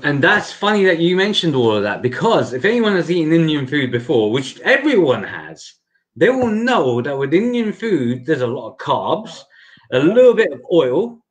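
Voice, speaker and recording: Man, host, casual livestream chat, animated pitch, repeats words. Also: moderate pace. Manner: conversational, repeats words while thinking.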